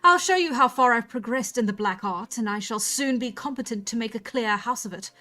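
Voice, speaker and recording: A woman's voice: a little high, brisk, sharp tone. A woman voicing a scornful young witchy wife in a storybook, haughty, mocking and threatening. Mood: mock menace. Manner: haughty, mock-malignant.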